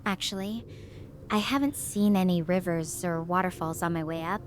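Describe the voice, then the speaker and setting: A woman's voice: fairly low pitch, moderate pace, slight background noise, lightly acted. A woman voicing an earnest stranded researcher. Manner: earnest, thoughtful, talking through her reasoning. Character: stranded young woman researcher, hopeful and determined. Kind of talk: conversational audio drama over a video call.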